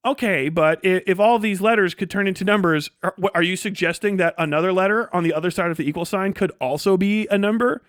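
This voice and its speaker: A man's voice: high-pitched, quick pace, hardly any pauses, dry recording, studio quality. A man talking casually as a livestream host, blunt and sarcastic, with frequent swearing.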